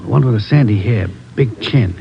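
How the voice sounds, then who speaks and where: An actor playing a barroom informant in a 1950s radio crime drama, speaking low and hushed. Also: male voice, normal speed, vocal fry, lightly acted. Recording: dull old radio recording, bar crowd.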